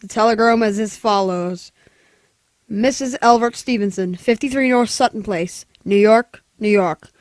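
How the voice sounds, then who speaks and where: A woman's voice: mid-range voice, unhurried, no echo, close to an everyday voice. A woman playing a businesslike telegraph clerk with a formal, detached delivery in a 1940s-style radio play. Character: businesslike, impersonal telegraph clerk reading out messages.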